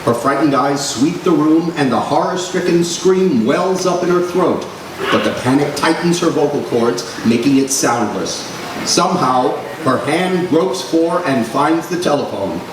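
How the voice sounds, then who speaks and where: A theatrical male serial announcer, played by a man on stage, declaiming with suspense in old radio style. His fairly high voice comes from far off in a very echoey, noisy room. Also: expressive, unhurried. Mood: suspenseful, eerie. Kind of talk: 1940s radio acting, scripted narration. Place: large room, live radio show recreation. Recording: roomy, noisy live stage recording from afar.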